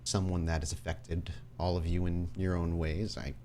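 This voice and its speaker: Man playing a deep, commanding crime patriarch. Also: little pitch movement, mellow, slight background noise, natural voice. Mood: calm menace. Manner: measured, deliberate, commanding. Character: commanding, coolly smiling male crime patriarch with ringed fingers.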